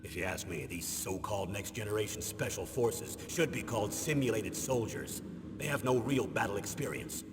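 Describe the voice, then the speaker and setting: An actor playing a stern middle-aged military commander in a video game, speaking in a measured, grave way and leaning on names. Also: male voice, fairly low pitch, lively intonation, lightly acted. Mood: grave, dismissive. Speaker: former special forces commander. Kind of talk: dramatic video game voice acting. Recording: echoing reverberant hall, noisy game audio.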